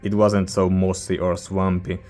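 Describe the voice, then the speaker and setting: Man, non-native English speaker, deep voice, flat delivery, casual hesitant reviewer, faint music behind. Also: a little slow. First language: not English. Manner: hesitant, informal, searching for words. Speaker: music reviewer, channel host. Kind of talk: casual rambling album review.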